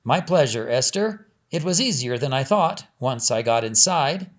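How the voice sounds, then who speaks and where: A man's voice: mid-range voice, expressive, normal speed, lightly acted. A man voicing a practical, good-natured middle-aged father in a storybook, with a casual, friendly manner. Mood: modest.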